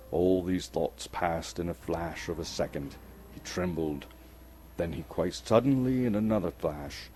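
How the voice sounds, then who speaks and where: A man reads a story as theatrical, suspenseful narration. His voice is low and very mellow, and he sets phrases apart with deliberate pauses. Music plays underneath him. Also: slight background noise. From Canada. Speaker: storyteller, actor reading an audiobook chapter. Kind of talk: dramatic scripted narration.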